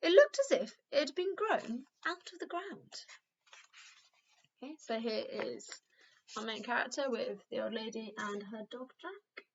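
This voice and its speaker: A woman teacher reading a children's story aloud in an expressive narrating style. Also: a little high, measured pace, creaky, highs cut off, slight background noise.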